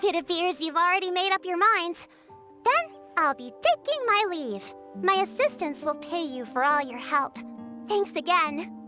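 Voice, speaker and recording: A woman playing a courteous, earnest woman in a video game, speaking politely and formally with gentle encouragement. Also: extremely high pitch, sing-song, measured pace, music in the background, heavily acted.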